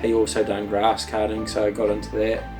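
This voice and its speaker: A man's voice: on the low side, completely flat delivery. A man, hesitantly recalling his path into motorsport in a documentary interview. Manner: halting, many ums, plain speech. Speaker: drift driver interviewee. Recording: distant mic with music bed. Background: guitar music.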